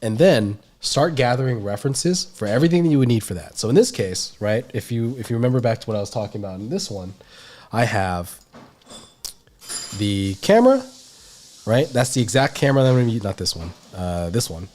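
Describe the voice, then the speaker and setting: A man's voice: mid-range voice, animated, a little fast, darkish tone. A man with a stuffy, nasal voice, teaching an art lesson on a livestream in a rambling way full of fillers. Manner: rambling, filler-heavy explaining. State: stuffy nose. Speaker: art instructor.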